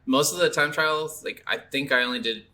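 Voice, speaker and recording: A man's voice: mid-range voice, normal speed, bright, forceful tone. A man co-hosting a video game podcast, chatting casually about games over a slightly noisy recording. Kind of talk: casual chat, game review.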